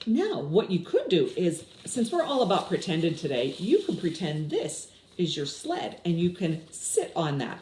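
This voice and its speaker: A woman's voice: on the low side, huge pitch swings, moderate pace, few pauses, dark tone. A woman in her sixties leading a children's story time, explaining simply and putting questions to young listeners. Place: small indoor room with window blinds. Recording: roomy echo with clear background noise.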